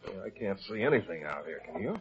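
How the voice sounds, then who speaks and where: A man's voice: sits low, sing-song, fast. An actor playing a blunt, skeptical lawman sidekick, incredulous and full of questions, in a 1950s radio detective drama. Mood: wary, hushed. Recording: muffled vintage 1950s radio recording.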